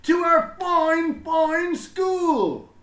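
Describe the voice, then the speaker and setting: A man voicing a proud, exuberant male school principal in a children's storybook, with emphatic, drawn-out delivery. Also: extremely high pitch, animated, a bit bright, echoey room, pitched above the performer's own voice, exaggerated voice. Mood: gushing delight.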